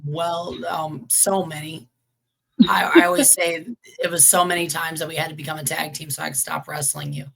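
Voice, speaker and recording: Hoarse woman wrestler, really low gravelly voice, cocky and loud. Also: steady tone, normal speed, low-quality recording. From Texas. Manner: brash, boastful. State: hoarse, losing her voice. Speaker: professional wrestler guest. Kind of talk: casual interview chat.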